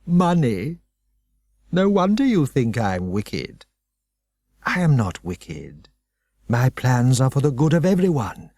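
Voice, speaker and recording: A man's voice: medium pitch, very animated, slow, pauses often, darkish tone, no echo, clean studio sound, full character voice. A man doing an icy, menacing headmaster. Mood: scornful. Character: cold, menacing male headmaster, calm and controlling.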